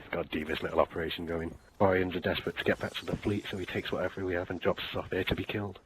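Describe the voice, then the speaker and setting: A man playing a battle-hardened alien soldier in a sci-fi game audio drama, gruff, terse and wry, with a raspy voice. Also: low-pitched, flat delivery, fast, poor audio. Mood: matter-of-fact. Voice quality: gravelly, raspy. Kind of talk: fan audio drama, game-story character acting.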